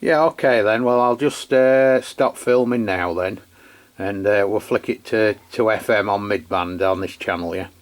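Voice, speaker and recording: An English man hosting a radio demo in casual unscripted chat, halting and rambling as he corrects himself. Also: fairly low pitch. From England. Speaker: amateur radio channel host. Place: beside his radio set, filming the equipment.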